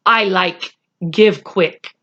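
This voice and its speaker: A woman's voice: medium pitch, very slow, a bit bright, highs cut off. A woman voicing a tiny, fierce warrior man from a storybook, who gives orders in terse, broken sentences. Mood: eager.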